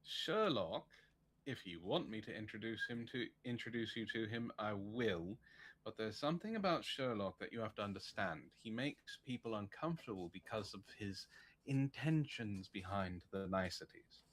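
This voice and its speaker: A man's voice: lowish voice, expressive, normal speed, fairly bright tone. A man co-hosting a live stream, dryly teasing and putting on mock menace. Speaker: stream co-host. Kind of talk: playful banter on a live stream.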